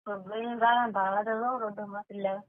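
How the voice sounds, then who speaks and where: A woman's voice: mid-range voice, steady tone, moderate pace. A woman with a Marwari accent from western Rajasthan chatting casually on a private phone call. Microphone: telephone line. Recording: tinny phone call recording.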